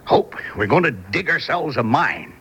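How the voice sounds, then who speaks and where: An actor playing a cocky, scheming frontier homesteader with rustic speech in an old-fashioned Western radio drama. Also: male voice, medium pitch, very animated, measured pace, fairly bright tone, slightly rough, exaggerated voice. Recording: noisy 1970s radio drama broadcast.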